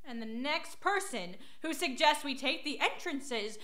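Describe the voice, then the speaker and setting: A woman's voice: high voice, expressive, unhurried, pushed and bright, exaggerated voice. An actress playing a firm, businesslike female military commander in a radio drama, speaking formally. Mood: stern. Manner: formal, briefing.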